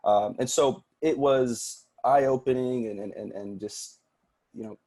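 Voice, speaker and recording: A man's voice: mid-range voice, measured pace, warm tone. A man from Sudan whose first language is Arabic, talking earnestly as a podcast guest and often repeating words as he starts a thought. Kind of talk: casual interview talk.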